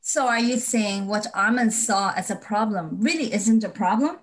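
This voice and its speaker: A woman's voice: medium pitch, normal speed. A woman acting as interviewer, asking short questions that prompt the teacher.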